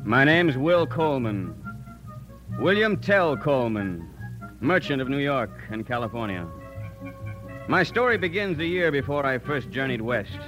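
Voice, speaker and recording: A merchant narrator over prominent music. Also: male voice, medium pitch, sing-song, measured pace, poor audio. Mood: composed. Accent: American. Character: composed male merchant narrating his own story. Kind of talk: old-time radio drama narration.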